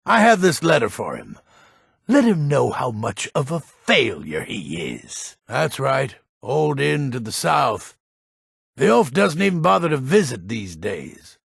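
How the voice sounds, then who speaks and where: A proud, cranky old Nordic townsman from a fantasy game. His voice is deeply gravelled and very husky, swinging widely in pitch. He talks in a gruff, blunt, folksy way. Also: big pitch swings, measured pace, a bit bright, no room sound, clean studio sound, heavily acted. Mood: bitter, grumbling. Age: older. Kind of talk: fantasy video game character acting.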